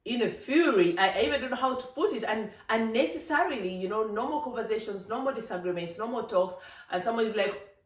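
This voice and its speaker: A vlogger from Africa, giving an emphatic, animated opinion monologue with laughing asides. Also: medium pitch, fairly quick. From East Africa. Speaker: vlogger, channel host. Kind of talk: casual opinion monologue to camera. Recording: roomy, distant from the mic.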